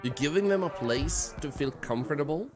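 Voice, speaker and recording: A man's voice: on the high side, expressive, normal speed, over loud music. A young man with a Swedish accent, chatting candidly on a game stream in a reflective, rambling way full of fillers. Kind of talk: casual gaming stream chat.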